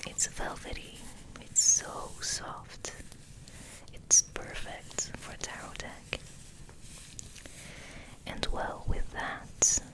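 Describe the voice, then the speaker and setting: A woman host giving a gentle, soothing ASMR ramble in a breathy whisper, softly repeating words. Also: poor audio.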